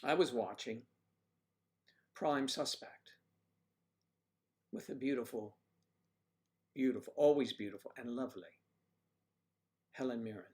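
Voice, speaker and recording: A man hosts a casual livestream from home, reading out viewers' comments. He rambles and cracks jokes as he greets people in the chat. His voice sits at an ordinary middle pitch. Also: unhurried, pauses often, darkish tone. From United States. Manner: chatty, jokey, rambling, talks to viewers by name.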